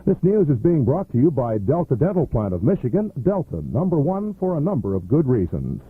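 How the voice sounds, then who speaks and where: A man's voice: medium pitch, sing-song, a little fast, breathy edge, no room sound. An American man working as a radio news anchor, reading scripted bulletins on a muffled 1980s radio recording.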